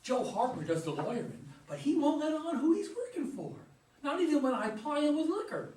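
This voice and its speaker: A man's voice: very high-pitched, big pitch swings, fairly quick, a little mellow. A man acting a feisty older rural American in a play reading, folksy and exclamatory, with an American accent. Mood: indignant, grumbling. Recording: distant mic in echoey room.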